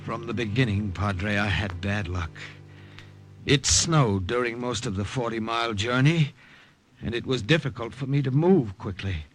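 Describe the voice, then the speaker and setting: A man's voice: medium pitch, normal speed, faint music behind, natural voice. A man playing an earnest, desperate wartime partisan agent in an old radio drama. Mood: tense, grave. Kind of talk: 1940s-50s radio drama acting and narration. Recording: hissy old radio recording.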